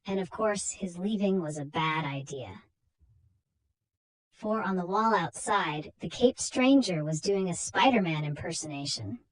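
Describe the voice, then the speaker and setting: A woman's voice: fairly low pitch, expressive, measured pace, poor audio. A woman hosting and narrating a horror comic story as a dramatic scripted reading. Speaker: narrator and host.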